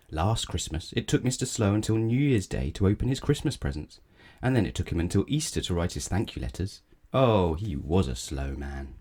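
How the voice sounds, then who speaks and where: A man with a low voice reads a children's storybook aloud. His delivery is measured and expressive, with animated swings in pitch and a mellow tone. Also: husky. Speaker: storybook reader. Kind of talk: children's read-aloud narration.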